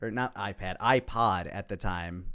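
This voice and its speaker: A man's voice: on the low side, unhurried, bright, forceful tone, clean tone. A man giving casual, rambling, self-deprecating commentary on his own old videos.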